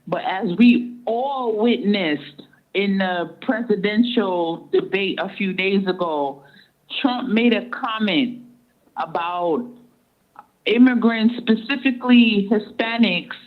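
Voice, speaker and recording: Slow-talking woman on a tinny phone line, measured and deliberate. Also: medium pitch. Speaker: guest caller. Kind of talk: casual radio talk.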